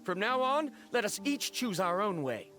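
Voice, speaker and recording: Bookish stubborn game scholar man, highish lively voice, formal, faint music behind. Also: moderate pace, full character voice. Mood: cold, insistent. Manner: formal, precise, argumentative. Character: frank, stubborn, learned male scholar traveler. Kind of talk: video game character acting.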